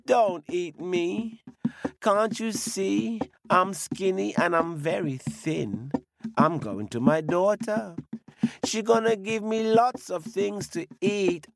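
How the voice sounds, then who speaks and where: A man voicing a frail, skinny old woman who pleads in a high, quavering voice. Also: gravelly, exaggerated voice.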